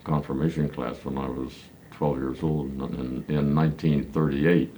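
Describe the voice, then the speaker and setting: A man in his nineties from Pennsylvania, recalling his church childhood in slow, halting answers full of hesitant fillers. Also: extremely low pitch, flat delivery, warm tone, a little hiss or hum. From Pennsylvania, United States. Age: 90s. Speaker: elder congregant interviewee. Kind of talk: reminiscing interview answers.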